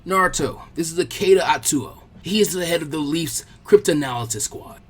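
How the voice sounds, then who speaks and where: A man's voice: fairly high pitch, a little fast, breathy edge. A man voicing a genial, crafty old village leader in an audiobook story, formal and teasing. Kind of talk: fan fiction audiobook character voice.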